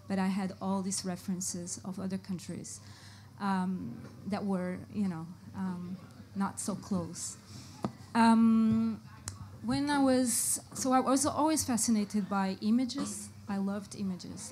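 Woman, Portuguese accent, warm mellow tone, halting with ums, faint background hiss. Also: mid-range voice. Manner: hesitant, many fillers, searching for words. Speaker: panelist, brand art director. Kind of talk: panel discussion. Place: live panel event before an audience.

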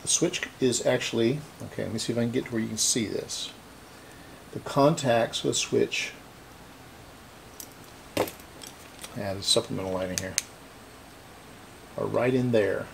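Male repair host, low voice, halting unscripted workbench explanations, some room noise behind him. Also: vocal fry. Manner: halting, thinking aloud while working. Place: indoor cluttered electronics workbench.

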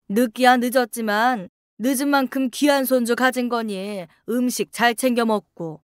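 A woman's voice: fairly high pitch, smooth, no room sound, clean studio sound, close to an everyday voice. A woman voicing a stern, nagging older mother-in-law in a story reading, with a curt, admonishing manner. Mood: solicitous.

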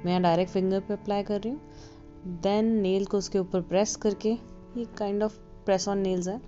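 A woman giving a relaxed, casual voice-over for a nail tutorial, slipping English phrases into her talk. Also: medium pitch, normal speed. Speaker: beauty vlogger. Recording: dull lo-fi voice-over with faint music.

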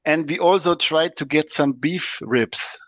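A man's voice: on the high side, unhurried, a bit bright, dry recording. A man, a podcast guest explaining products in a methodical, technical way. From Germany.

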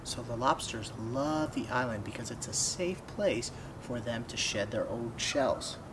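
A man's voice: medium pitch, a little slow. Young man reading aloud, noisy outdoor recording. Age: young adult. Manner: expressive, asks the listener questions. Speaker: storybook reader. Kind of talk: children's read-aloud with asides to young listeners. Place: outdoors by a wooden fence. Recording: clearly noisy outdoor ambience.

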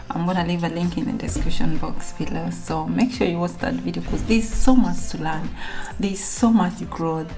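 A woman's voice: on the low side, darkish tone. A young woman from Kenya talking alone to her camera at home in a reflective, rambling sit-down vlog, full of fillers and restarts. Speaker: vlogger.

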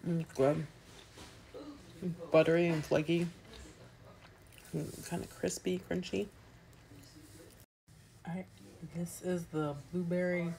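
A woman in her thirties talks into a phone held at arm's length in a small room at home. She tastes and describes pastries in a chatty, easygoing way, pausing to chew between remarks. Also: on the low side, little pitch movement, gravelly, dark tone, rough recording. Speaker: food vlogger. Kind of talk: casual food review vlog.

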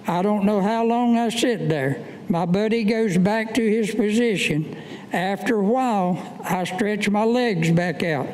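An older man, a veteran, giving a staged reading of a war memoir in a halting, line-by-line manner. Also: high voice. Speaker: Vietnam veteran reading his own work. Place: indoor meeting room, at a podium. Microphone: gooseneck podium microphone. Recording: echoey room, clear background noise.

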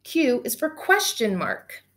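Teacher, woman in her thirties, slightly higher voice, animated storytime delivery for kids, webcam sound. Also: slow. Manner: animated, gushing, talks directly to children. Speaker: teacher reading aloud. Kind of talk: children's read-aloud. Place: small indoor room at home. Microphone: webcam at desk distance.

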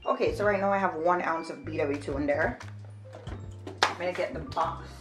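A woman's voice: low voice, moderate pace, fairly bright tone, faint music behind. A woman in her twenties from Jamaica, chatting casually to camera in a how-to vlog, explaining each step as she works. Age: 20s. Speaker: vlogger. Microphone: camera on a shelf a few feet away.

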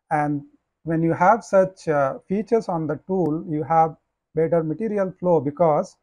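A man, a professor giving a slide lecture, explaining in a measured way with frequent "uh" and "you know". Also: on the high side, a little mellow, dry recording.

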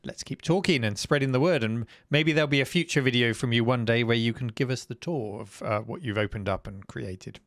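A man's voice: medium pitch, a little fast, few pauses, fairly bright tone, dry recording, studio quality. A man hosting a live stream, chatting and reacting to viewers' videos. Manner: chatty, enthusiastic. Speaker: host.

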